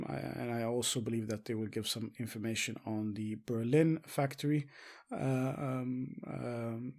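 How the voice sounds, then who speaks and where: A man presenting investing commentary as a show host, speaking haltingly with frequent filled pauses. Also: mid-range voice. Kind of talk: semi-scripted stock market commentary.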